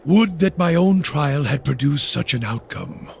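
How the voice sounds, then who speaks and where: An actor playing a grim, embittered fire priest in a video game, speaking in gravelly, theatrical pronouncements like a preacher. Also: male voice, a little high, lively intonation, measured pace, slight background noise, full character voice. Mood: bitter, solemn. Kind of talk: video game character acting.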